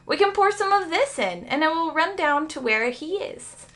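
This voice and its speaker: A woman's voice: very high voice, lively intonation, moderate pace, fairly bright tone, faint music behind, pitched above the performer's own voice. A woman voicing an eager, impulsive young boy in a children's storybook, blurting things out dramatically. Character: eager, impulsive young boy, well-meaning older brother.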